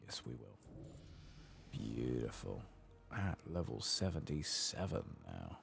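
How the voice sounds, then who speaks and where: A soft-spoken British man murmuring ASMR-style game commentary, sometimes dropping towards a whisper. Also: extremely low pitch, sing-song, slow pace, vocal fry, music in the background. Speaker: host of gaming playthrough.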